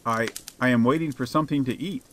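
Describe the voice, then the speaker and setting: A man voicing a hungry beggar in an audiobook, gentle and humble with polite cheek. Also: mid-range voice, fast talker, a little hiss or hum, close to an everyday voice. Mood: gently pleading. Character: hungry male beggar, meek on the surface, slyly bluffing. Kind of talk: dramatized audiobook character.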